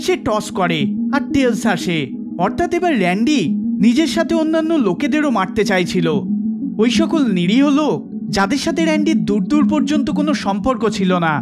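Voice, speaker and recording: A man's voice: very high-pitched, sing-song, brisk. A man narrating a scripted documentary in a dramatic storytelling manner. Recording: loud music behind the voice. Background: loud background music.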